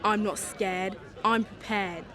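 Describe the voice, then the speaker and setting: A woman's voice: medium pitch, fairly even intonation, slow, crowd noise, natural voice. A young woman playing a suspicious, defiant conspiracy believer in a street interview drama. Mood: defiant, paranoid. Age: young adult. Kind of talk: vox pop acting in youth drama.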